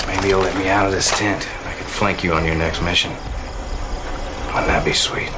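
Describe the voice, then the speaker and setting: An actor playing a brash, gung-ho field officer in a game cutscene, talking in informal slang. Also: male voice, low voice, steady tone, normal speed, heavy background noise, close to an everyday voice. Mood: smug, eager. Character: cocky, gung-ho male military field officer, brash and eager. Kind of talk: live-action game cutscene acting.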